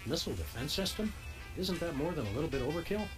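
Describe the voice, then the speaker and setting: A man's voice: fairly high pitch, big pitch swings, quick pace. A man voicing a wry male dog detective in a video game, speaking with dry, sarcastic questions. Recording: game audio under music.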